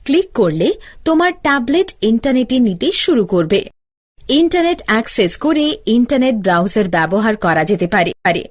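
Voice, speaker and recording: A woman's voice: fairly high pitch, very animated, brisk. A woman playing an older-sister teacher, giving step-by-step instructions in a scripted cartoon tutorial. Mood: instructive. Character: older-sister teacher explaining gadgets to a child.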